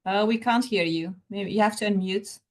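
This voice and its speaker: A woman's voice: medium pitch, moderate pace. A woman moderating a video-call question session, friendly and a little hesitant, repeating words as she invites questions. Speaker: host of an online info session.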